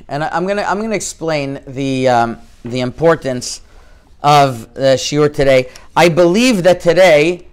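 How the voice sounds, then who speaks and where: Halting, smooth-voiced male rabbi lecturing. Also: mid-range voice. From Canada. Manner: halting, many restarts, sprinkled with Hebrew terms. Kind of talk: informal Torah lecture.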